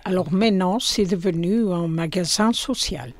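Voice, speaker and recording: An older woman from Portugal with a Portuguese accent, interviewed in her small shop, speaking slowly and hesitantly with many fillers. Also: on the low side, dry recording, studio quality. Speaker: shopkeeper.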